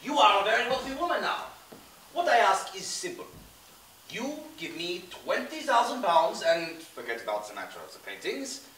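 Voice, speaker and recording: A man's voice: high-pitched, very animated, normal speed, sharp tone, slight background noise, exaggerated voice. A man playing a middle-aged con man who fakes a French accent and blackmails with oily menace, recorded far from the mic in an echoey room. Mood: menacing. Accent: fake French.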